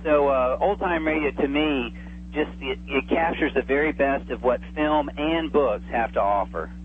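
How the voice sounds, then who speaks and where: Over a thin phone line with faint hum, a man of medium pitch talks as an interview guest. He is enthusiastic and discursive, chatting informally as he explains things. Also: normal speed. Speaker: interview guest, old-time radio enthusiast. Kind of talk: casual interview chat. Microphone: telephone.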